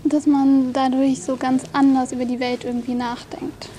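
A woman in her twenties with a high, mellow, fairly flat voice, speaking reflectively in a radio interview on location. Faint ambient noise behind her. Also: moderate pace. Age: 20s. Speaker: festival intern.

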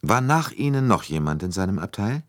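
A man's voice: fairly low pitch, very animated, a little fast, no room sound, studio quality, voice pushed up. A man voicing a composed, methodical detective who questions courteously and precisely in an audiobook. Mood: probing. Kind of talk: audiobook character dialogue.

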